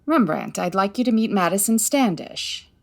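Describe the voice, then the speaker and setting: No-nonsense forties female sleuth, played by a woman, dry wit, animated mid-range voice, radio-detective comedy. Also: medium pitch, normal speed, lightly acted. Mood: matter-of-fact. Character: brisk, wry, no-nonsense 1940s female detective. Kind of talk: comedic old-time radio detective acting.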